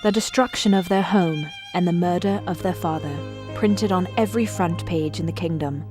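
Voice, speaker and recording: A woman giving scripted dramatic narration as a storyteller, solemn, formal and measured. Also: fairly low pitch, music in the background.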